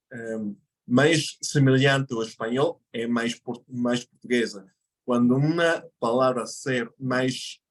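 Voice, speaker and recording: A man from Mexico, a native Spanish speaker, chats as an interview guest. His voice sits at an ordinary middle pitch. He explains his points patiently, pausing and correcting himself along the way. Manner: explanatory, thinks aloud with pauses and self-corrections. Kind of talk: casual interview conversation.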